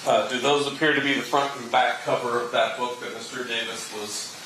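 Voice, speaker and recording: An American man questioning a witness as prosecutor in court, halting and feeling for his words. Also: medium pitch, steady tone. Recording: echoey courtroom, distant mic, clear noise.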